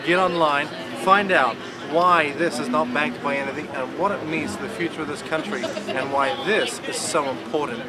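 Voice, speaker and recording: A man's voice: fairly high pitch, normal speed, hardly any pauses. A man with a New Zealand accent giving an earnest, explanatory campaign speech outdoors, handling props as he talks. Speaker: political campaigner speaking to camera. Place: outdoors at a busy event. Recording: loud crowd noise behind.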